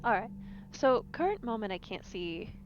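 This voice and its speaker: A woman's voice: fairly high pitch, sing-song, normal speed. A woman playing in a tabletop role-playing game, talking casually on a lo-fi mic with background noise.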